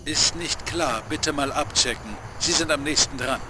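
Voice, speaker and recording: An actor playing a businesslike police dispatcher in a radio drama, clipped and procedural. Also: male voice, a little high, moderate pace, close to an everyday voice. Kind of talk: modern horror radio drama. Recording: very noisy police radio transmission.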